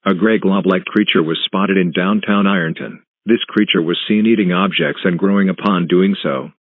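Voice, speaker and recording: A man reading a scripted emergency alert announcement in a formal, impersonal, measured manner. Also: sits low, steady tone, dry recording. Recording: tinny phone-like alert broadcast audio.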